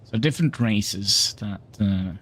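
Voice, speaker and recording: Slow-talking man streaming a game. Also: lowish voice, a bit bright. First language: Norwegian. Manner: unhurried, reads game dialogue aloud, chats with viewers. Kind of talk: livestream gameplay commentary.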